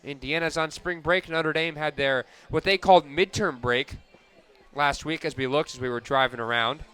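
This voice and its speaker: A man calling live softball play-by-play on the radio as the announcer. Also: on the high side, steady tone, normal speed, smooth.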